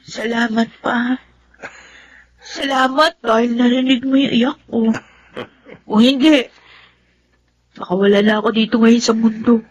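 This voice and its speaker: A woman's voice: on the high side, steady tone, measured pace, long pauses, husky, rough recording. A woman acting in a Tagalog radio drama as an affectionate, grateful, girlish daughter, speaking tenderly and expressively. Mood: grateful, earnest.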